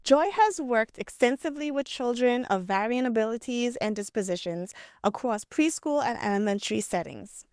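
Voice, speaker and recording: A woman's voice: a little high, expressive, normal speed, few pauses, a little mellow, clear, resonant tone, no room sound, clean studio sound. A woman hosting an interview, introducing her guest and asking questions in a polite, formal way. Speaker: interview host, educational director. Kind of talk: hosted interview.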